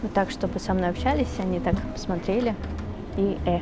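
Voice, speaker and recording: A young woman singer answering interview questions in a relaxed, chatty way, with many fillers. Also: medium pitch, a little fast. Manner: relaxed, chatty, switches between Russian and Tatar, many fillers. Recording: noisy background with traffic.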